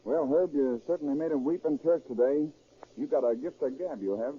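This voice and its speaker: A man's voice: fairly high pitch, fairly quick, lightly acted. A male actor as a minister's brash brother in an old radio drama. He talks in a plain, colloquial, needling way. The 1930s recording is thin and phone-like, with faint noise. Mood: teasing, puzzled.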